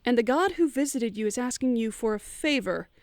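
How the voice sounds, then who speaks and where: A woman's voice: fairly high pitch, animated, a little fast, darkish tone, no echo, studio quality, lightly acted. A woman playing a polite, practical, slightly wary traveler in a fantasy audio drama.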